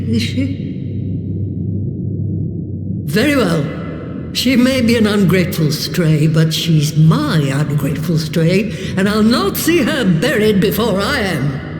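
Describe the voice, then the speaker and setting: An actress playing a sharp-tongued but caring old sorceress in a video game, brusque and wry in old-fashioned speech. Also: female voice, medium pitch, expressive, slow pace. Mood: gruff, wry. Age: older. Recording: echoey with long reverb, under music.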